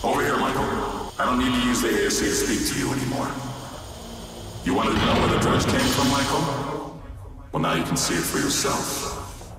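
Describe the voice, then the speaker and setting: A man playing a solemn, weary imprisoned alien guide in a video game, speaking gravely and deliberately. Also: mid-range voice, natural voice. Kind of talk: video game cutscene acting. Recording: echoey distant hall reverb, loud music.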